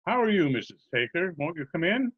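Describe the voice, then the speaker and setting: A man's voice: fairly high pitch, big pitch swings, a little fast, slightly rough. A man playing a genial, scatterbrained butcher in old-time radio comedy style, chatty, earnest and politely literal-minded. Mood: cheerfully eager. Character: genial scatterbrained male butcher who muddles every question. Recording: dull remote video-call recording.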